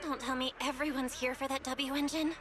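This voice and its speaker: A woman's voice: sits high, flat delivery, big-room sound, music in the background, natural voice. A woman voicing a thoughtful, cautious young woman in a video game. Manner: measured and thoughtful.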